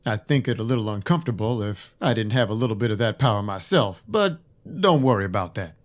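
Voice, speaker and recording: An actor playing a world-weary vampire detective, narrating a noir audio drama in a wry, hard-boiled, deadpan way. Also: male voice, fairly low pitch, brisk, lightly acted. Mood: wry, confiding. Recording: music behind the voice.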